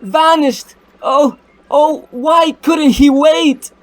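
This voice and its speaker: Man putting on a high, slow-spoken devoted young woman. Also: fairly even intonation, exaggerated voice. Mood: anxious, pleading. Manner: melodramatic, emotional, halting. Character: Francine, devoted, defiant young female bride. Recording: music bed under the voice.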